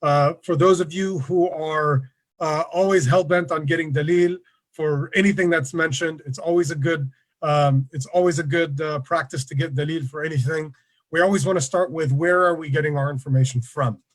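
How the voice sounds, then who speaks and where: A man presenting an online workshop over a video call, relaxed and conversational, with many fillers. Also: on the high side, moderate pace. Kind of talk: casual online lecture.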